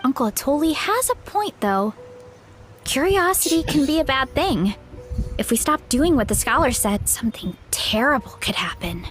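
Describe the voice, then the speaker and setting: A woman's voice: high voice, sing-song, normal speed, faint music behind. A woman voicing a friendly, easygoing young woman who farms and loves food, in a casual video game dialogue style. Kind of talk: animated game character dialogue.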